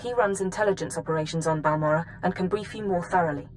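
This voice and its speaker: A woman playing a composed, efficient intelligence analyst in a video game, giving briefings in a crisp, businesslike manner. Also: on the low side, fast talker, a little mellow, a little hiss or hum, close to an everyday voice, low-quality recording.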